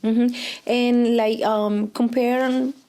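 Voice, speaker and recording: A woman's voice: on the high side, flat delivery, slow pace, dark tone, clear, resonant tone. A woman in her thirties from Colombia with a Colombian Spanish accent, speaking English as a second language in a casual interview, hesitant with many fillers and laughs. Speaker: interviewee, preschool Spanish teacher.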